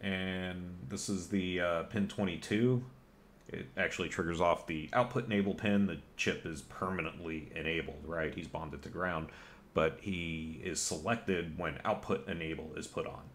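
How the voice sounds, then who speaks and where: A man with a deep, gravelly voice talks through a computer hardware repair in a loose, rambling way. He sounds informal and a little self-mocking. A faint hiss sits under his voice from the home bench. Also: fairly even intonation, normal speed, a bit bright. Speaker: electronics hobbyist host. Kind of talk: casual technical walkthrough. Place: home workbench with computer gear.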